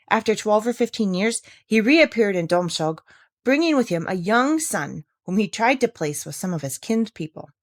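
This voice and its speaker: A woman's voice: medium pitch, dry recording, clean studio sound, close to an everyday voice. A woman voicing a self-interested, knowing young village woman in a storybook, firm and matter-of-fact. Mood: confiding. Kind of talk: storybook character reading.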